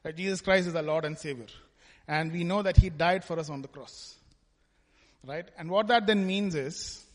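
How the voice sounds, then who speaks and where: Man, high voice, giving a chatty church testimony, echoing in a big hall. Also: normal speed. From Kerala, India. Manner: conversational, tags lines with "right?". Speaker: church speaker. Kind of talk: personal testimony talk at church. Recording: long hall reverb.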